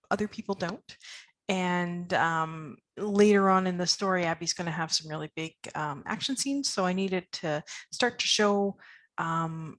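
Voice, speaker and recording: A woman who writes fiction, explaining casually as a podcast guest, in a halting, hesitant way. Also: lowish voice, fairly bright tone.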